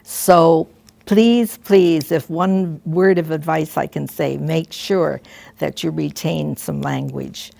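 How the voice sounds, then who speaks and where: An older woman, a retired school principal, telling gentle, halting stories and advice to students. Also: low voice, fairly even intonation, creaky, no echo. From Canada. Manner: gentle, halting, with frequent restarts and soft asides. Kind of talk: reflective storytelling to students.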